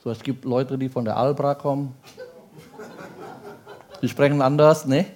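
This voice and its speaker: A male pastor preaching in a language that is not his first, peppering his sermon with rhetorical questions and quick checks to the congregation. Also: mid-range voice, steady tone, darkish tone, a little hiss or hum. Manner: speaks German as a second language.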